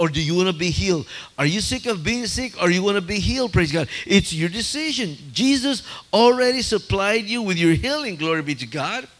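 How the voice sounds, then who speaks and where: A fervent male preacher with a high-pitched voice who preaches in a rush of excitement. He drops "hallelujah" and "praise God" between almost every phrase. He stumbles over words and restarts lines as the excitement carries him. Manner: restarts and stammers when excited. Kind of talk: sermon.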